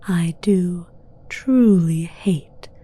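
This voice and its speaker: A woman playing a seductive, domineering female demon villain in an ASMR roleplay, speaking in a breathy whisper with a deliberate, theatrical, condescending delivery. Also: fairly low pitch, dark tone, exaggerated voice. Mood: weary, menacing.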